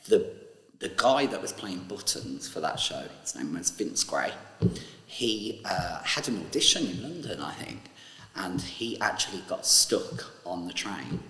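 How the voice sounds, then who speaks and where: A man's voice: sits low, pushed and bright, reverberant, long reverb tail, low-quality recording. A man recalling his early stage career in an interview, telling it earnestly. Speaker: interviewee, actor and dancer. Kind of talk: anecdotal interview.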